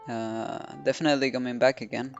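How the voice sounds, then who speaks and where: A woman game streamer comments casually as she plays. Her delivery is very even and low-key, with faint game music behind her. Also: a little fast, highs cut off.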